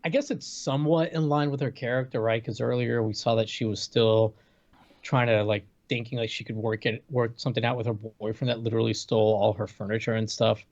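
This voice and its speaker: A man's voice: medium pitch, fairly even intonation, a little fast, a little mellow. Slightly dull-sounding man, casual hesitant film chat. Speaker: co-host of a movie review show. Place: indoors, video call.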